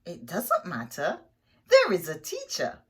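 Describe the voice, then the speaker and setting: An encouraging storybook schoolteacher, put on by a woman reading aloud, praises young pupils and gives them simple instructions. She draws her words out slowly, with big lifts and falls in pitch. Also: wildly swooping pitch, slightly rough, heavily acted.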